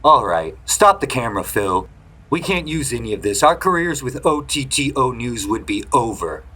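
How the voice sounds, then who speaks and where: A brisk, impatient male news reporter in a sci-fi audio drama, acted by a man. His voice is bright and forceful, with a lively, swinging pitch. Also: poor audio. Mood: exasperated.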